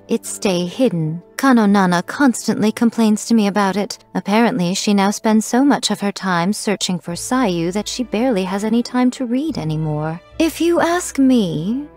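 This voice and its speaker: A woman voicing a sly, playful and haughty fox shrine maiden for a video game, with a teasing, coy, refined and deliberate delivery. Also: medium pitch, darkish tone, close to an everyday voice. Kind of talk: scripted video game character voice lines. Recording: voice lines over background music. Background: music.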